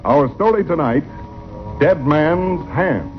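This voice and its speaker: A man's voice: fairly high pitch, lively intonation, takes it slowly. An American man narrating a vintage radio drama as its announcer, in a grand, theatrical scripted delivery. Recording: muffled old radio broadcast. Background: music.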